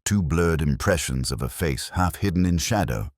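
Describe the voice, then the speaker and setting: Very deep-voiced man narrating a detective story. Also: expressive, no room sound, clean studio sound. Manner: formal, literary, measured storytelling. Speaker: audiobook narrator. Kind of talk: scripted first-person detective story narration.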